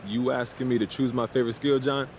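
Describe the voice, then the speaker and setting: An actor playing a cocky, defiant young American basketball star, casual and boastful in a sports game's post-game interviews. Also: male voice, mid-range voice, steady tone, fast, darkish tone, a little hiss or hum, close to an everyday voice. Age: young adult. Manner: boastful, self-assured, casual.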